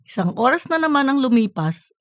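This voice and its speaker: A woman's voice: high voice, big pitch swings, a little fast, no room sound, clean studio sound. A woman reading scripted narration for a comedic fiction story. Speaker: audiobook narrator.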